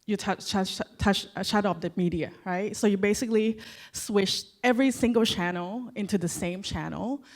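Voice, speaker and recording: A woman's voice: medium pitch, normal speed. A woman from Thailand on a conference panel, telling a personal story in an earnest, conversational way with personal asides.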